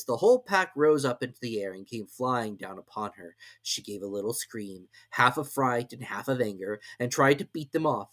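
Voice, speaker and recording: A man giving an expressive, measured dramatic reading of a classic tale. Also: mid-range voice. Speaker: storybook reader.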